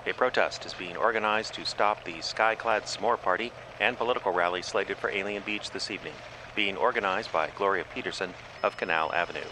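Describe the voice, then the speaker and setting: A small-town male newsreader played by an actor reads mock headlines with a straight face. His voice is low, over clearly audible background noise. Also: fairly quick, natural voice. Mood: deadpan reporting. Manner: deadpan. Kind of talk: scripted mock news report.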